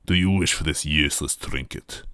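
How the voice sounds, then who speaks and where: A man's voice: extremely low pitch, pushed and bright, no room sound, studio quality, voice pushed down. A man voicing an enormous metal smith god in a story reading, stony, imperious and menacing. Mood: stony, disdainful. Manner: stony, slow-burning, imperious.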